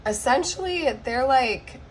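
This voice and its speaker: Hoarse, flu-cracked woman reading tarot. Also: high-pitched, lively intonation, measured pace, a little hiss or hum, poor audio. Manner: chatty, checks in with frequent "okay?". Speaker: tarot card reader. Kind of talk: casual tarot reading to camera.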